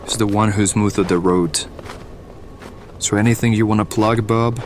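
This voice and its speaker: An actor playing a pragmatic, seasoned survivalist hireling in an audio drama, matter-of-fact and instructive. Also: male voice, fairly low pitch, steady tone, normal speed, a little hiss or hum, close to an everyday voice. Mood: instructive, unruffled.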